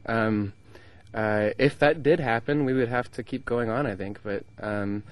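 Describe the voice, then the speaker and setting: A man's voice: mid-range voice, measured pace. A young man from the United States, a band member, answering interview questions hesitantly with many ums and restarts. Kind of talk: casual interview. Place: indoor festival lounge.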